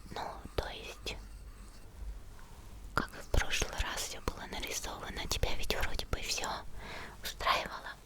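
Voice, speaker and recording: A woman playing a friendly, attentive monster girl in a whispered ASMR roleplay, speaking gently and unhurriedly in a breathy murmur to the listener. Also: unhurried, pauses often, close to an everyday voice. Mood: focused, attentive.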